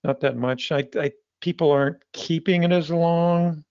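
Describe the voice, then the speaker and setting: A man's voice: fairly high pitch, steady tone, a little mellow, smooth, dry recording, lo-fi sound. A man, a magazine publisher, talking casually in an interview, often restarting his sentences. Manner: halting, with frequent restarts.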